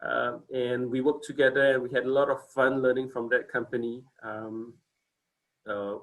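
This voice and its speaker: A man's voice: medium pitch, little pitch movement, moderate pace, low-quality recording. A man in his forties from Singapore, giving a casual company presentation on a video call, hesitant with many fillers and restarts.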